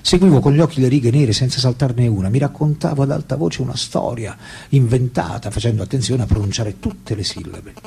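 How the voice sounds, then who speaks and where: An actor playing a candid, detached French intellectual man recalling his life in a radio drama, with a husky voice and reflective, hesitant delivery. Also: mid-range voice, brisk, warm tone, a little hiss or hum, natural voice. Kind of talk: radio drama acting, memoir monologue and dialogue.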